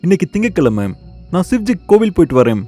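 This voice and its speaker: A man's voice: sits high, sing-song, fairly quick, dark tone, faint music behind, pitched above the performer's own voice. A man voicing a devout village farmer in a cartoon horror story, stammering when afraid. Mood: hurried. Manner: stammering in fear.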